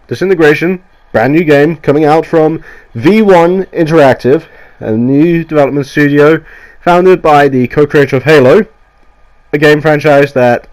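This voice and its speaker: A man's voice: on the high side, a little mellow, poor audio. Nasal, sweary man with a cold. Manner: rambling, sweary, enthusiastic, restarts often. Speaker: gaming YouTuber. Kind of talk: casual game review voiceover. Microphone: voiceover mic.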